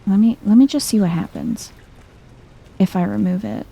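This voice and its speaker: A soft-spoken woman giving chill, hushed ASMR commentary while thinking aloud through a video game. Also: mid-range voice, lively intonation, normal speed, creaky, husky.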